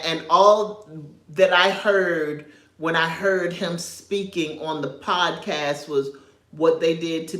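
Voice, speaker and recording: A woman's voice: low-pitched, roomy sound. A woman from New York, raised in North Carolina, giving casual livestream commentary. She talks in a chatty way, drifts off topic and chuckles often. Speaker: social media commentator.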